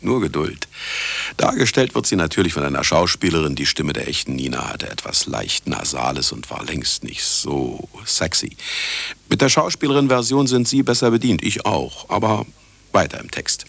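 Very deep, gravelly older man, sardonic. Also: very animated, normal speed, a bit bright, full character voice. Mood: wry. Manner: sardonic, self-important, talking to listener and sound engineer. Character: cynical, vain, retired male scientist telling his own story. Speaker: narrator and lead actor in a radio play. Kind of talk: satirical radio drama monologue.

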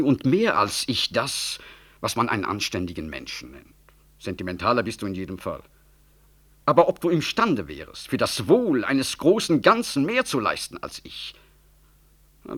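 An ambitious, calculating government official in a 1960s radio drama. His voice moves in lively, wide swings of pitch. He speaks in a rhetorical, condescending and diplomatically slippery manner. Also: normal speed, breathy edge, heavily acted. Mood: self-justifying, condescending. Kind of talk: 1960s German radio play acting.

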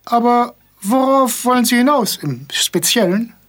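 An actor playing an elderly, hard-of-hearing author who talks in a pompous, rambling, absent-minded way in a radio play. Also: male voice, very high-pitched, animated, measured pace, fairly bright tone, full character voice. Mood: pedantic, flustered. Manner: verbose, pedantic, absent-minded. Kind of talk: German radio play acting.

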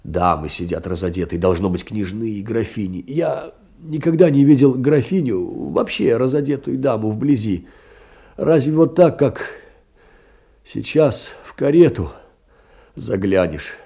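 An actor playing a lonely, meek middle-aged clerk, musing tenderly in a husky, gravelly voice in a theatrical monologue. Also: male voice, mid-range voice, lively intonation, unhurried, mellow, heavily acted. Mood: wondering. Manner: musing, intimate, hesitant storytelling. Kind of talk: theatrical literary monologue in an audio play.

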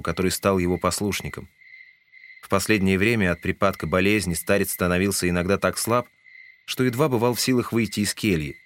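A man reading scripted literary narration as the narrator of an audio drama. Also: low-pitched.

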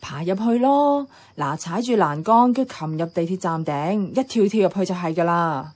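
A woman's voice: fairly low pitch, expressive, moderate pace, darkish tone. An actress playing a nostalgic, adventurous young woman in a radio drama, chatting with a Hong Kong Cantonese accent. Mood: mischievous eager. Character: nostalgic, playful, adventurous young woman revisiting her childhood neighbourhood.

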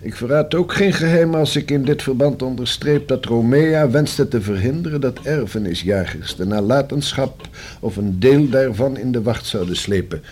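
An actor playing a formal, precise and long-winded notary in a Dutch radio play, with a slightly husky voice. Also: male voice, mid-range voice, normal speed, a little mellow, slight background noise, lightly acted. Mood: formal, measured. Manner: formal, precise, pedantic legal phrasing. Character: formal, discreet, long-winded male notary explaining a will.

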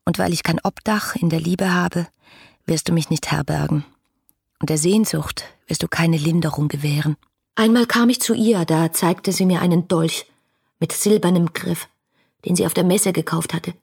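A fervent young romantic reciting letters in a radio drama. Her voice is husky and lowish, lyrical and intimate, with some music in the recording. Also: fairly even intonation, moderate pace, darkish tone. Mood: anguished, then intense. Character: passionate, dreamy young romantic woman writing letters. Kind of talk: German radio play, literary letter reading.

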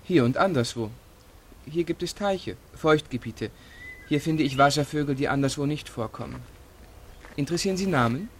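A man's voice: on the high side, expressive, normal speed, a little hiss or hum. A man playing an amateur birdwatcher in a radio crime drama, giving precise, evasive answers. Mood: measured, evasive.

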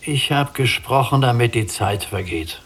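Weary, terse old prisoner. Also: male voice, medium pitch, lively intonation, measured pace, a bit bright, slightly rough, heavily acted. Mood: resigned, terse. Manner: terse, resigned, demanding questions. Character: weary, withdrawn blind old male prisoner. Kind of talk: literary radio play dialogue.

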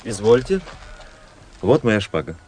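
Young aristocratic officer, low voice, very animated intonation, nonchalant, self-willed, radio drama, noisy recording. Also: male voice, a little slow. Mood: persuasive. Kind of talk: Russian radio drama acting.

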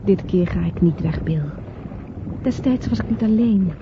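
An actress playing a flirtatious married woman in a radio play, expressive and coaxing. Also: medium pitch, normal speed, natural voice. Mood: impatient, passionate. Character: flirtatious married woman fleeing London, pleading then seductive. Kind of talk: Dutch radio play acting. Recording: dull, noisy old radio play recording.

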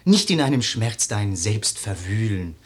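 An actor playing an earnest, admiring young gentleman and friend in a verse radio drama, speaking thoughtfully. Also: lowish voice, big pitch swings, a little slow. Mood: gently urging. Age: young adult.

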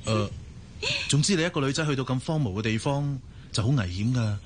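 A man's voice: medium pitch, a little fast, slight background noise, lightly acted. Hesitant, earnest young doctor played by a man, Cantonese. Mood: concerned, earnest. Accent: Hong Kong Cantonese. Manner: hesitant, stammering. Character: earnest, evasive young male hospital doctor, easily smitten. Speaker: radio drama actor. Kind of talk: radio drama acting.

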